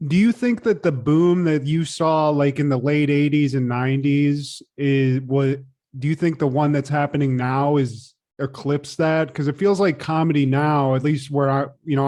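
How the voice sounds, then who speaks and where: A man's voice: on the high side, fairly even intonation, moderate pace, a little mellow. A man co-hosting a podcast, asking questions in a casual, conversational way. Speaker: podcast co-host.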